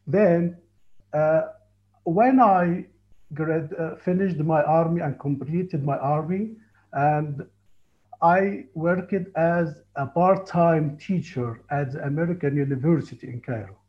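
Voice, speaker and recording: Egyptian man telling his story. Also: a little high. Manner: halting, earnest, frequent restarts. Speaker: tour guide and Egyptologist. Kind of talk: casual interview on a call. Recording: muffled lo-fi call audio.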